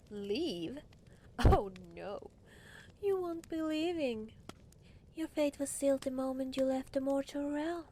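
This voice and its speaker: A woman voicing a haughty, teasing demon lord in an ASMR roleplay, condescending and theatrical, with breathy murmurs. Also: high voice, dark tone. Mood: scornful.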